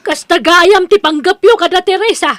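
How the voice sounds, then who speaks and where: A woman's voice: very high voice, normal speed, a bit bright, no echo, studio quality. A woman playing a reproachful village neighbour in an Ilocano audio drama. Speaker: drama voice actress.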